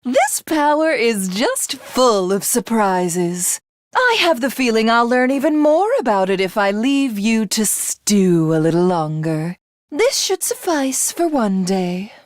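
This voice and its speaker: High, haughty, theatrical villainess. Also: female voice, very animated, slow, dry recording, studio quality. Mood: smug, taunting. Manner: grandiose, condescending, theatrical. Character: haughty, sadistic alien villainess, obsessively lovestruck, self-glorifying. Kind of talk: video game voice acting.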